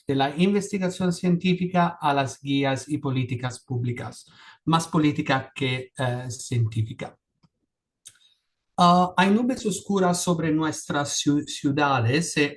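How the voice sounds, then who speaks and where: Non-native Spanish-speaking man presenting. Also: a little high. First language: not Spanish. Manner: careful, with frequent restarts and fillers. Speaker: panelist. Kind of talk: conference presentation.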